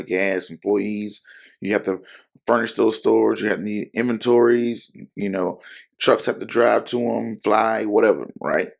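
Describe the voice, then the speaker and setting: A man hosting an investing channel, speaking in casual, rambling talk to camera with lots of fillers and self-corrections while his voice is hoarse. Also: lowish voice.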